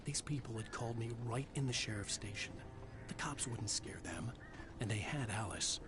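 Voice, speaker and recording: An actor playing a troubled novelist in his thirties who narrates in a low, clipped, brooding noir manner in a video game. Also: normal speed, lightly acted. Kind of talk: noir narration and cutscene dialogue. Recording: game audio with music under it.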